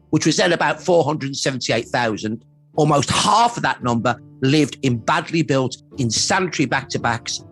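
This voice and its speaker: A man's voice: medium pitch, fairly bright tone, husky. A man speaking as an expert historian, explaining emphatically with rhetorical repetition. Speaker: expert interviewee, social historian. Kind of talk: explanatory interview commentary.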